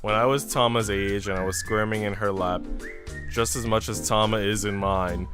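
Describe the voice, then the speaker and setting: Man reading as wry, gently fussing young man. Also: fairly low pitch, fairly even intonation, moderate pace, pitched below the performer's own voice, lightly acted. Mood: reflective. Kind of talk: visual novel narration and dialogue read aloud. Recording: background music.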